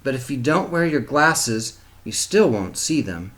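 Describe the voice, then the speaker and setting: Man as sassy young girl dancer, storybook, medium pitch, lively intonation, plain-spoken. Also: a little slow. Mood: cheeky. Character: tall, sassy young girl dancer, quick-witted and sensitive.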